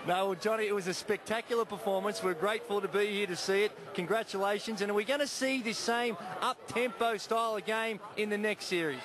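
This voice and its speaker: A man's voice: high voice, fairly quick, few pauses. A middle-aged man interviewing players courtside after a game, speaking energetically and raising his voice over the crowd on a handheld mic in an indoor arena. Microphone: handheld microphone. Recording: loud arena crowd noise.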